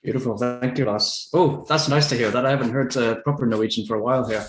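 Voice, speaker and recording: A man with a medium-pitched voice moderates a book talk over a video call, and his voice carries a roomy echo. He reads a translated passage steadily and chats with a modest, slightly hesitant manner. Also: fairly even intonation, fast talker.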